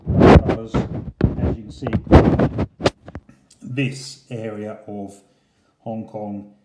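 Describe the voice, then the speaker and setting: A man's voice: lowish voice, little pitch movement, drawn-out words, very noisy. A man hosting a casual unboxing review, talking in a conversational, explanatory way. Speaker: channel host, model airport reviewer. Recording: roomy, echoey indoor sound.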